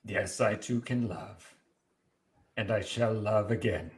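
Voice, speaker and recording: A man playing a commanding, possessive vampire count in a radio play, speaking in a theatrical, deliberate, declamatory way. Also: fairly low pitch, steady tone. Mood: quietly menacing. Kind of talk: live comedic radio play reading.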